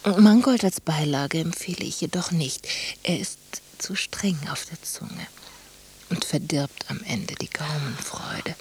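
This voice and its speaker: A woman's voice: low-pitched, a little slow, darkish tone, breathy edge, in a whisper, exaggerated voice. An actress playing a seductive, courtly woman who flirts in formal, teasing, ornate phrases in a German radio play.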